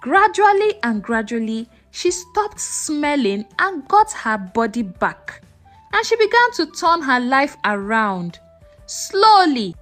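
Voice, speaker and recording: A woman telling a scripted folktale as a storyteller, in a measured, expressive and dramatic way. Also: high-pitched, soft background music. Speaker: storyteller narrator.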